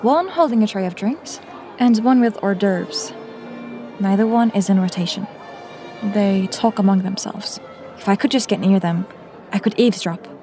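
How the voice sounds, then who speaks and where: An actress playing an anxious young woman infiltrator who mutters to herself in a hushed, self-questioning way in an audio drama. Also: medium pitch, moderate pace, faint music behind, natural voice. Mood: focused, self-coaxing.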